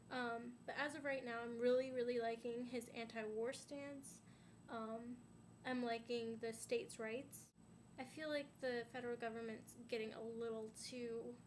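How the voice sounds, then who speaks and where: A young woman talks to her camera in a loose, rambling way, her fairly high voice full of "um"s and "like"s. She sounds a little stuffy and sniffly from a sinus infection. A faint hiss sits under the recording. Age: young adult. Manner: rambling, many "um"s and "like"s. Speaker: vlogger. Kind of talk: casual chat to camera.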